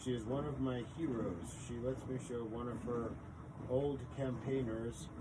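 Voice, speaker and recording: A man's voice: medium pitch, heavy background noise. A man hosting a casual storytime, reading an article aloud in an informal, rambling way with asides. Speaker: storytime host.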